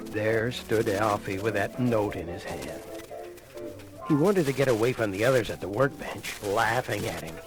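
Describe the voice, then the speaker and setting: A man narrating a children's Christmas read-along record in an animated, conversational way, addressing the listener with dramatic pauses, on a vintage 1980 vinyl recording. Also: mid-range voice, poor audio. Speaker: storyteller. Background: music.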